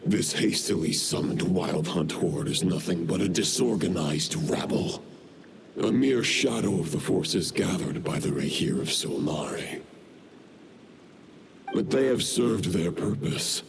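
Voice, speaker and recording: A man voicing a formidable, cold warrior lord who speaks in a slow, measured, formal way. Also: low-pitched, animated, creaky, heavy background noise, full character voice.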